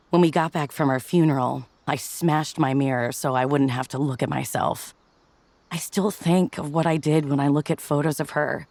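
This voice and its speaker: Woman as an easygoing, deep-voiced frank woman. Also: a little mellow, dry recording. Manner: casual, slangy, frank. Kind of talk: motion comic voice acting.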